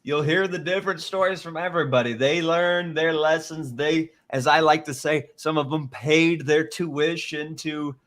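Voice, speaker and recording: A man's voice: a little high, fairly even intonation, moderate pace, few pauses, a bit bright. Upbeat man hosting a casual chat. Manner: upbeat, rambling with restarts. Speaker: host.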